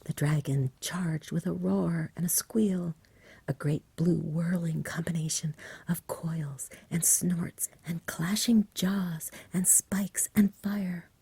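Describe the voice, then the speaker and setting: A woman reading a story in a hushed, soothing ASMR whisper, close to the microphone. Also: lowish voice, steady tone, slow, no echo. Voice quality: breathy whisper. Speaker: ASMR reader.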